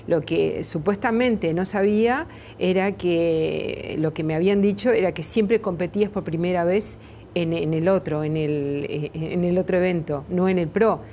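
Hesitant Uruguayan woman, low mellow voice. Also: moderate pace, slight background noise. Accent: Uruguayan Spanish. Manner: hesitant, many fillers and restarts. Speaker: interview guest, makeup artist. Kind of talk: TV studio interview. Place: TV studio set, seated in armchair.

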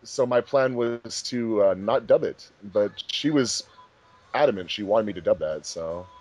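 A man's voice: lowish voice, expressive, measured pace, a little mellow. A man hosting a casual group commentary track, talking informally as the director of a fan project. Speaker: host, fandub director.

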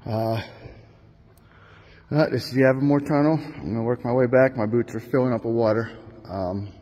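Low-key man wading through a tunnel. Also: normal speed, warm tone. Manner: plain, matter-of-fact, talking to himself while wading. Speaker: vlogger exploring a tunnel. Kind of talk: casual handheld narration. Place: inside a long flooded stone tunnel. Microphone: phone camera held while walking.

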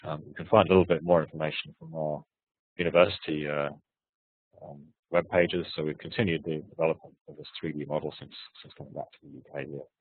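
A man with a very low, husky voice, hoarse from hay fever, talks through his research in a hesitant way full of restarts. The sound is dry and tinny, like a webinar call over a thin line. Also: fairly even intonation, fast, no room sound. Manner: hesitant, many restarts and fillers. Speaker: research presenter. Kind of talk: webinar talk and Q&A answers. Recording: tinny phone-like webinar audio.

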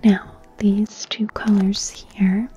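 A young woman whispering softly and breathily in an ASMR personal-attention roleplay as an art teacher, soothing and gentle, close to the microphone. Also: mid-range voice, flat delivery, slow pace. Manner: soft, soothing, gentle, repeating phrases. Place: small bright plant-filled room indoors. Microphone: close studio microphone with fluffy cover.

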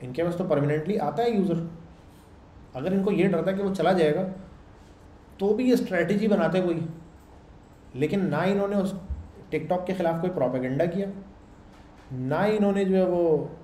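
A man's voice: fairly high pitch, warm tone. Man lecturing in an echoey room. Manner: conversational, explains with checks like "samjh rahe ho" and "theek hai". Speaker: programming instructor. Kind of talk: casual classroom lecture. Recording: echoey room with faint background hum.

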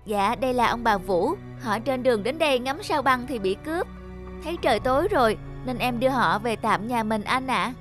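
A woman's voice: on the high side, expressive, moderate pace, a bit bright, soft background music. An actress playing a kind, caring young girl in a cartoon, speaking politely and earnestly. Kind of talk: animated moral tale voice acting.

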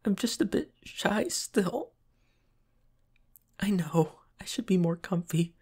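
A man playing a shy, flustered, submissive young pop star boyfriend in a roleplay audio, with soft breathy, stammering, hesitant speech. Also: sits high, expressive, takes it slowly, full character voice. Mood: soft, relaxed. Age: young adult. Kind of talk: roleplay ASMR audio acting.

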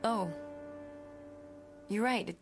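A woman's voice: mid-range voice, slow pace, close to an everyday voice. A woman playing a hesitant, proper, defensive schoolteacher in a radio drama. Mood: rattled. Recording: music under the voice.

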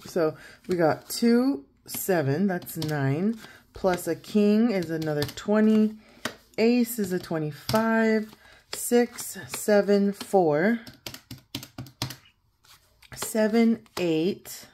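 A woman in her thirties with a croaky morning voice, chatting casually at a home desk and counting money aloud as she fills in savings challenges. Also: mid-range voice, drawn-out words, long pauses, a little hiss or hum. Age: 30s. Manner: chatty, counts out loud, laughs easily. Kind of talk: casual chat while counting cash.

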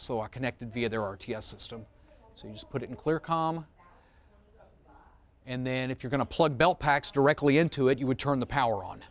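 A middle-aged man leading a seminar, explaining a product in an informal, plain-spoken way with casual asides. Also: medium pitch. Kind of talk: informal technical product demonstration. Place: studio room, seated at demo table among a small group. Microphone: wireless mic, close.

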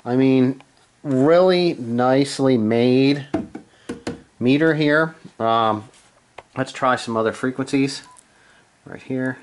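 A man giving a casual, hands-on gear demonstration, halting and unscripted as he thinks aloud from behind the camera in a room at home. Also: mid-range voice, slight background noise. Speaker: amateur radio hobbyist, presenter. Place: small room at home, indoors.

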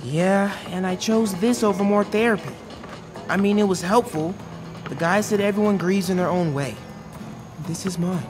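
Earnest, high-voiced teenage boy, acted by a man. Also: moderate pace, over music, natural voice. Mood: earnest, subdued. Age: young adult. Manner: casual, youthful muttering to himself. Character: earnest, nervous New York teenage boy. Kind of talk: naturalistic video game acting.

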